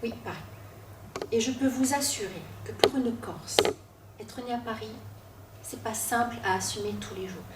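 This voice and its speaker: A young woman from Corsica, telling her own story on stage, with dramatic pauses and self-mocking asides. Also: on the high side, background noise. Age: 20s. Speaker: student storyteller. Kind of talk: personal storytelling talk. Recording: echoey room, from a distance.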